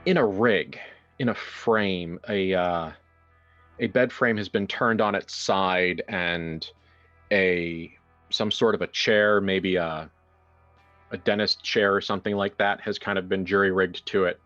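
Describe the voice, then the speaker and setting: A man's voice: deep voice, fairly even intonation, unhurried, fairly bright tone, lo-fi sound, soft background music. A man hosting a horror roleplaying game in a casual, improvised way, with wry joking asides. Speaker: game master and host.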